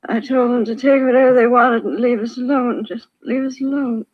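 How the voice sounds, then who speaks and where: An actress playing a dazed, grieving, injured widow in an old radio crime drama, weak and repetitive, in short broken sentences. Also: female voice, on the high side, steady tone, fairly quick, lightly acted. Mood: dazed, distressed. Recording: thin, phone-like vintage radio recording.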